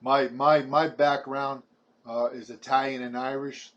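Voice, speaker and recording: Casting: man in his 70s, Brooklyn New York accent, halting chatty preacher-style vlogger, mid-pitched. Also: little pitch movement, a bit bright, dull audio. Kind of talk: rambling personal testimony to camera.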